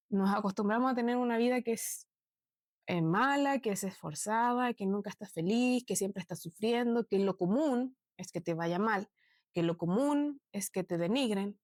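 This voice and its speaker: A woman in her late twenties from Chile, with a Chilean accent, talking earnestly and slowly to her viewers about her life, with many pauses and fillers. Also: mid-range voice, a little mellow, no room sound, clean studio sound. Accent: Chilean Spanish. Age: 20s. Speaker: vlogger. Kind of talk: reflective personal talk to viewers.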